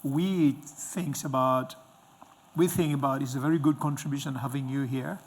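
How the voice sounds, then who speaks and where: Husky middle-aged male interviewer. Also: mid-range voice, steady tone, normal speed. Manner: encouraging, reflective. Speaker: interviewer, host. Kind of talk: conversational interview. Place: indoor stage with dark curtains.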